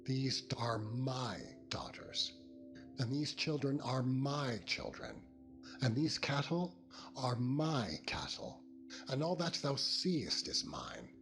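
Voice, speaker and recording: Guy doing solemn possessive bible father-in-law, grand archaic oratory, lively pitch, music behind. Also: slow, full character voice. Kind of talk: dramatized scripture reading.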